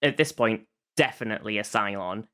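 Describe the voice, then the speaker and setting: A podcast host with a mid-pitched voice that is bright and cleanly resonant. The delivery is casual, like talk between friends, with a hesitant search for the right word as the recap goes on. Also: brisk. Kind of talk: casual chat recapping a TV show.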